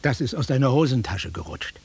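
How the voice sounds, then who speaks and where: An actor playing an irritable, sceptical city-bred man in a German radio drama, curt and sarcastic, with a very raspy, breathy voice. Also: medium pitch, sing-song, fairly quick, darkish tone, full character voice. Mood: grudging, strained.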